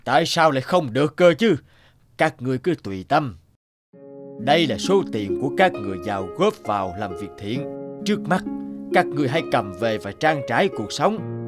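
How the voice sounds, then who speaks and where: Man playing a shrewd, righteous old village sage, lively-pitched. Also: moderate pace, faint music behind, close to an everyday voice. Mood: calm, assured. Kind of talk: cartoon voice acting.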